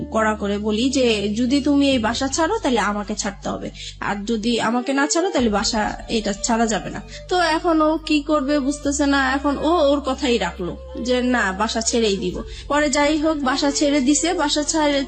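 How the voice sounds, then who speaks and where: A Bangladeshi woman with a high-pitched voice tells a personal story in an interview. She narrates event by event, relaying past dialogue. Background music plays beneath her. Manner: recounts events with reported dialogue. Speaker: guest telling own life story.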